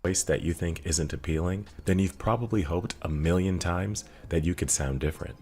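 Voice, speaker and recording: A man narrating a scripted self-help video in a smooth instructional way, with faint music under him. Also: sits low, normal speed, darkish tone.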